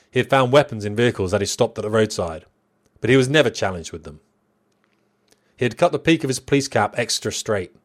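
Male memoir narrator, middle-aged, lowish pitch, measured and reflective scripted read.

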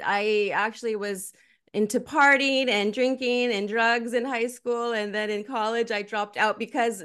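A woman in her forties hosting a podcast, chatting casually, bubbly and quick to laugh. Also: on the high side. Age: 40s. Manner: bubbly, laughs often, lively exclamations. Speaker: podcast host. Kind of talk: casual interview chat.

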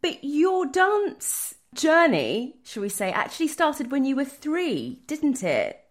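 A woman's voice: sits high, sing-song, slow. A woman hosting an interview, asking curious, chatty questions. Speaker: interviewer, host. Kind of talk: conversational interview.

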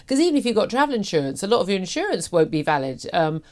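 A woman's voice: medium pitch, very animated, fast, darkish tone. A British woman in her fifties talking through the news as a talk show host, chatty, emphatic and opinionated. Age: 50s.

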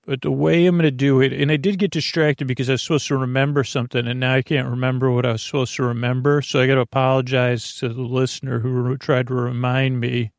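Creaky-voiced man rambling as bedtime podcast host. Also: medium pitch, flat delivery, no echo, clean studio sound. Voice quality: creaky, gravelly. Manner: halting, digressive, absent-minded, self-deprecating. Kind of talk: rambling, meandering bedtime monologue.

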